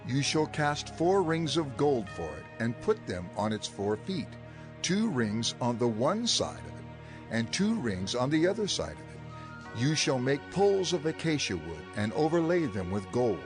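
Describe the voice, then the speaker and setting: An actor playing a solemn male scriptural figure giving firm instructions in a measured, declamatory dramatized reading. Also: mid-range voice, sing-song, music in the background. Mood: solemn, instructive.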